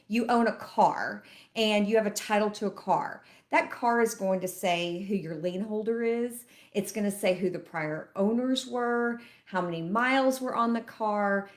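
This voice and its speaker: A middle-aged woman, a loan officer chatting to camera and explaining things step by step, a bit sniffly from allergies. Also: mid-range voice. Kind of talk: casual educational talk to camera.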